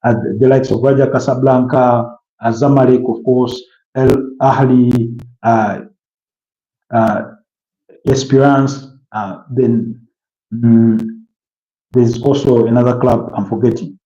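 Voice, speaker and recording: A man's voice: medium pitch, fairly even intonation, mellow, roomy sound, dull audio. A man from Uganda hosting a live stream, chatting casually and answering viewer comments with many restarts and fillers. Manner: halting, repeats words, many fillers.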